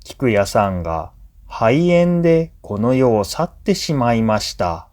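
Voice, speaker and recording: A man reading a scripted true story for language learners in a measured, clearly phrased narration. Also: mid-range voice, big pitch swings, darkish tone. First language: Japanese.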